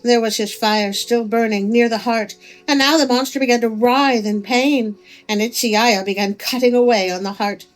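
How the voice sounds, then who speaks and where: A woman narrating a folk tale as a storyteller, with expressive delivery. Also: fairly high pitch, moderate pace, low-quality recording. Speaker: storyteller, host of a story channel. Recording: music under the voice.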